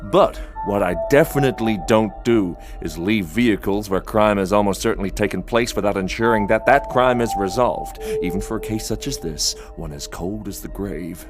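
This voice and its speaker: An actor playing a world-weary detective in an audio drama, dry, deadpan and sardonic. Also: male voice, on the low side, normal speed, few pauses, over loud music, natural voice. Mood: weary narrating. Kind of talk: full-cast audio drama acting with noir narration.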